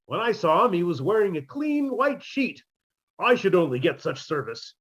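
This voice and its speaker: Man as a high, husky, plaintive maestro. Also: very animated, moderate pace, dull audio, full character voice. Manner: plaintive, self-pitying. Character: melodramatic, lovelorn, down-at-heel male maestro, mournfully comic. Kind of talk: 1950s radio comedy acting.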